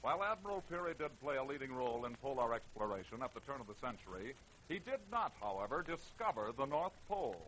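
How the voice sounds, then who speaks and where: Animated American vintage radio narrator, male. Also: mid-range voice, fast talker, slight background noise, rough recording. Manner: emphatic, theatrical. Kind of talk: 1930s-40s dramatic scripted narration.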